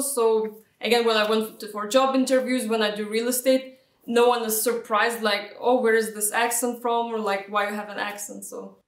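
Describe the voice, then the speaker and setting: A woman from Lithuania with a Lithuanian accent, chatting as an interview guest with frequent fillers and restarts. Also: on the high side. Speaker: guest, real estate agent. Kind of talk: casual interview answers. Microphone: video call. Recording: roomy, distant video-call audio.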